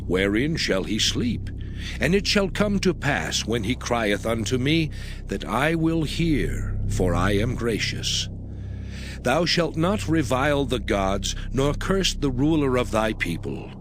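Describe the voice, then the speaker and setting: A man's voice: mid-range voice, very animated, slow pace, background noise. A man voicing God as a solemn, commanding lawgiver in a dramatized scripture reading, with declamatory, archaic diction. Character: God, solemn commanding male deity laying down law. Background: background music.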